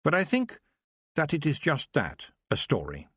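Man voicing a calm, sensible young witch. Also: on the high side, unhurried, breathy edge, no room sound, voice pushed up, exaggerated voice. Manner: calm, plain-spoken, reasoning.

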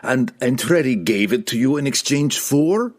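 A man's voice: medium pitch, animated, normal speed, voice pushed up, lightly acted. A man voicing a cruel, imperious guildmaster villain in a fantasy audiobook, theatrical and commanding, with menace in his delivery. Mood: interrogating. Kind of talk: fantasy audiobook character acting.

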